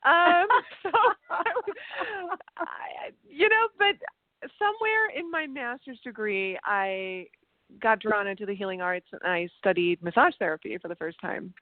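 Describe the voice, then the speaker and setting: A German-born woman whose first language is German, speaking English without an accent as an interview guest, telling animated stories and restarting her sentences often. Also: mid-range voice, a little slow, no room sound. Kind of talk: casual interview chat. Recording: tinny phone-like line.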